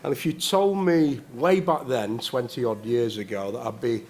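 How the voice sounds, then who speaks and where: A man's voice: mid-range voice, normal speed, darkish tone, slight background noise. A man in his forties, a politician giving a prepared speech at a conference, earnest with hesitant restarts.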